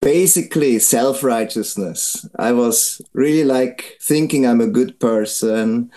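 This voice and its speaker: Man, from Switzerland, missionary guest, earnest, simple phrasing, relaxed dark tone. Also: mid-range voice, takes it slowly. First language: Swiss German. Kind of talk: interview, personal testimony.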